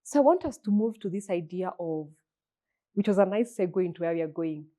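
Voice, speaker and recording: A woman's voice: fairly low pitch, animated, fairly quick, mellow. A woman hosting an interview, guiding the talk and affirming her guest with frequent agreement. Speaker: host. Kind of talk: interview conversation.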